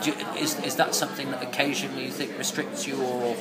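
A man hosting a podcast, asking halting questions full of restarts and laughing easily. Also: mid-range voice, flat delivery, a loud crowd. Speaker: podcast host. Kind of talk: casual interview chat.

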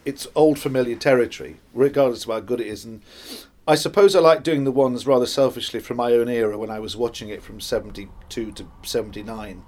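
A man's voice: medium pitch, darkish tone. A man talking conversationally in an interview as an actor describing his audiobook work.

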